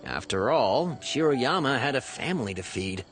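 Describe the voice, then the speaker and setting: An actor playing a cynical, gossipy police officer in a video game, emphatic and sure of himself. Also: male voice, mid-range voice, animated, moderate pace, over loud music, close to an everyday voice. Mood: knowing. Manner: emphatic, speculative.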